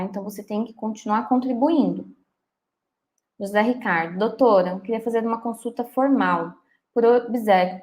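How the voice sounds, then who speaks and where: A woman's voice: a little high, darkish tone. Woman, 30s, lawyer on a live Q&A; chatty, checks in with listeners often. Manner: chatty and reassuring, often ends with "tá?". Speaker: lawyer answering viewer questions. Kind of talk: live Q&A, reading comments aloud.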